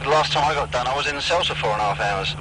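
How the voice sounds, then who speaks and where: A man's voice: mid-range voice, very fast, background noise. A British man chatting casually as a CB radio hobbyist. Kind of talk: casual chat with fillers.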